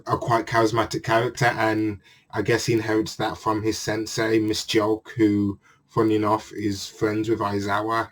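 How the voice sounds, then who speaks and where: A low-voiced man talks casually and earnestly as a channel host recapping a manga chapter. He often stops partway through a phrase and starts it again. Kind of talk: casual spoken review monologue.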